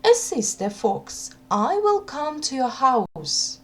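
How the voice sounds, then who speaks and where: A woman's voice: sits high, very animated, slow pace, pitched above the performer's own voice, full character voice. A woman voicing a timid, polite male hare who carries messages in a children's folk tale. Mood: friendly. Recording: noticeable background hum.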